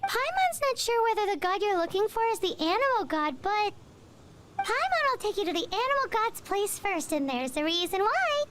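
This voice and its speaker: A woman's voice: very high-pitched, big pitch swings, moderate pace, very noisy. A woman voicing a small, chirpy, eager fairy guide in a video game, bubbly and childlike. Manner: refers to self in third person, bubbly. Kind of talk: video game character acting. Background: loud game music.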